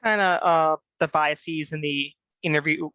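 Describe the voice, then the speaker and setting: Slow, hesitant man clarifying, dry sound. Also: fairly high pitch, steady tone, pushed and bright, dry recording, dull audio. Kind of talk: clarifying a question.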